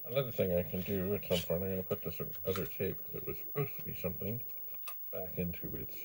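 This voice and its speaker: A man giving a casual hands-on demo as the host, thinking aloud in short, broken, unhurried phrases. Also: deep voice. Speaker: host of a retro computer channel. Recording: faint background noise.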